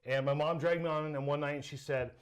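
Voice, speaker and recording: Middle-aged American male, fairly high voice, animated casual storyteller in an interview. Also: quick pace. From Southern California, United States. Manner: animated storyteller, acts out quoted dialogue. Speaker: interview guest, sports announcer. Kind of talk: casual chat, personal storytelling.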